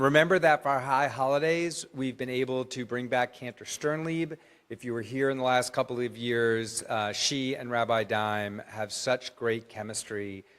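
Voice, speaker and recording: A man's voice: medium pitch, fairly bright tone. A man serving as synagogue president, giving chatty, enthusiastic announcements with joking asides to the congregation. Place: large synagogue sanctuary.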